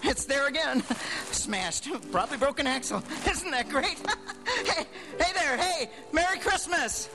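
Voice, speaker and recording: High, excitable, frantic family man. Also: unhurried, full character voice, rough recording. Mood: giddy elation. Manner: breathless, stammering, repeating himself excitedly. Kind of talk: live radio drama acting. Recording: live stage radio play, music behind.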